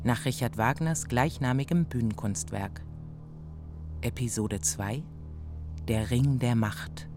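A man making a measured, scripted title announcement for a radio drama. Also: medium pitch. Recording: music behind the voice.

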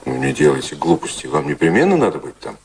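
An actor playing a cynical, cool-headed gentleman in a radio drama, ironic and commanding in manner. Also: lowish voice, huge pitch swings, fast, vocal fry, heavily acted. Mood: brisk, commanding. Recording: radio play with faint background noise.

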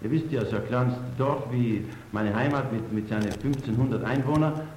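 A man's voice: mid-range voice, moderate pace, a little mellow, close to an everyday voice. A man playing a folksy mountain village storyteller recalling boyhood, in a radio play. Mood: reminiscing. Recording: echoey room, faint background noise.

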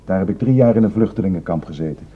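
A man's voice: low voice, lively intonation, racing, slightly rough, narrow, tinny audio. An actor playing a weary, despairing Kurdish refugee man in a sombre radio drama. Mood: sombre recounting.

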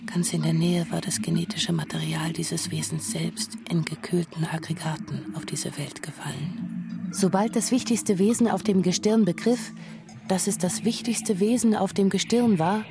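Female storyteller for sci-fi radio play, measured n precise, music behind. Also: fairly low pitch, vocal fry. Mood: measured, storytelling. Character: female narrator of a literary science-fiction tale. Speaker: narrator. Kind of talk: literary radio drama narration.

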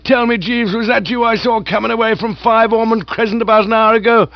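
A man reading aloud voices a loud, hearty older aunt who talks bluntly and bossily. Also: extremely high pitch, steady tone, brisk, dry recording, pitched above the performer's own voice. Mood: insistent. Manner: loud, emphatic, bossy. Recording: dull, dry recording.

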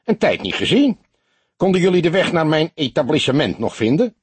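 A man voicing a small, slick, jovial Amsterdam bar owner in an audiobook, chirpy and exuberant, quick to take offence. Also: a little high, very animated, breathy edge, dry recording, pitched above the performer's own voice, full character voice. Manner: chirping, exuberant, indignant-prone. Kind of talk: audiobook character voice.

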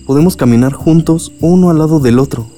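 A man playing a gentle, apologetic, pleading sweetheart in a radio drama. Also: fairly high pitch, fairly quick, soft background music, close to an everyday voice. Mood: tender.

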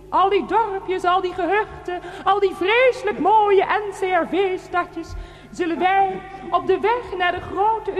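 A woman acting a pious, solemnly grateful churchgoer in a satirical radio play, praying in a ceremonious, declamatory way in a reverberant hall. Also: extremely high pitch.

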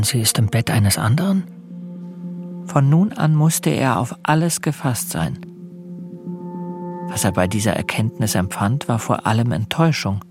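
A man narrates a radio play in a literary, scripted style. His voice is of medium pitch and slips into inner monologue, with faint music underneath.

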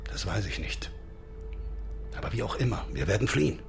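A man's voice: lowish voice, expressive, normal speed, vocal fry, music in the background. An actor playing a defiant seaman in a radio play, speaking in an urgent, terse and resolute way. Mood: urgent, hushed. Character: tense, defiant seaman plotting a desperate escape.

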